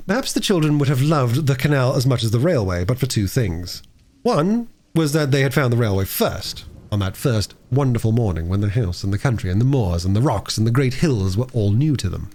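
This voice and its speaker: Man narrating with lively, swooping intonation. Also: medium pitch, fairly quick. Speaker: audiobook reader. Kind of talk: storybook narration, cold reading aloud.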